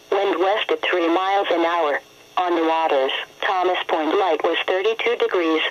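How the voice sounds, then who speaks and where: A woman announcer reading a scripted report of weather conditions in a formal, automated-sounding way. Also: lowish voice. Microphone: radio broadcast feed. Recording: dull lo-fi weather radio broadcast.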